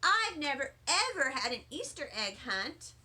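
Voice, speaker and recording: A thoughtful, agreeable little girl from a children's story, played by a woman. She muses mildly in a high, bright voice. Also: very animated, measured pace, exaggerated voice. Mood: musing.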